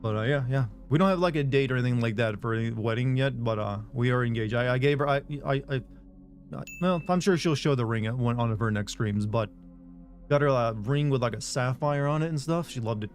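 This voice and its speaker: A man's voice: mid-range voice, fairly quick. Regular guy streamer, mid-range voice, jokes at his own expense while reading chat; faint music bed. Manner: rambling, self-deprecating joking with chat. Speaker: game streamer. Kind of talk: casual live-stream chat, reading out viewer messages.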